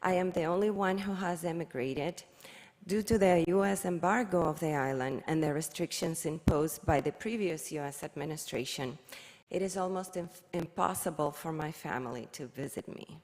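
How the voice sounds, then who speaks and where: A middle-aged woman from Cuba, giving a measured, careful reflection at a service. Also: low voice, a little mellow. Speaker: worship leader. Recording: long hall reverb.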